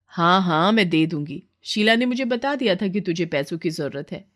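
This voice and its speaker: A woman voicing a proud, bossy but kindly employer lady, commanding and brisk, in a moral story. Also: fairly low pitch, lively intonation, lightly acted. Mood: obliging.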